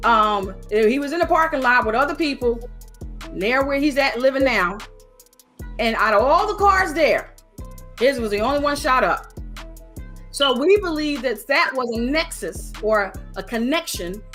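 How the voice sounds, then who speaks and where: A woman's voice: high voice, lively intonation, a little slow, a bit bright, soft background music. A woman speaking unscripted, giving a personal account as an interviewee. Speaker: interviewee, legal guardian.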